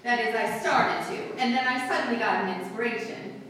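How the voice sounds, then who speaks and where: A woman playing a cool, mocking, vengeful wife in a 1940s-style radio drama, arch and deliberate. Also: fairly high pitch, fairly bright tone, natural voice. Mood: coolly mocking. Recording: distant, echoey hall, background noise.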